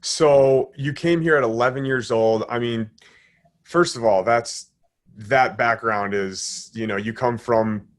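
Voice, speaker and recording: A man in his thirties hosts a podcast interview from his living room over a video call. He sounds friendly and upbeat, with a casual, easygoing way of talking. His voice sits in a middle pitch for a man. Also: steady tone, slow pace. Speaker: host.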